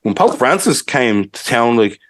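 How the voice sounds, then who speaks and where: A man's voice: mid-range voice, sing-song, normal speed, fairly bright tone. A young man with a Dublin accent, chatting casually as a podcast co-host and rambling with lots of fillers. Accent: Irish, Dublin. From Ireland. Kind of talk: casual history chat.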